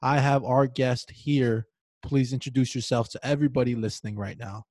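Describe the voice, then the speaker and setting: Relaxed podcast host from Hawaii, dry sound. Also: male voice, mid-range voice, moderate pace, no echo. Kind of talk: casual podcast interviewing.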